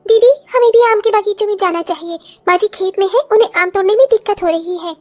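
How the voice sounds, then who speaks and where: A woman's voice: extremely high pitch, fast, faint music behind. A woman voicing an eager, dutiful young village daughter-in-law in a cartoon story, sweet and reassuring. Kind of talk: Hindi cartoon story voice acting.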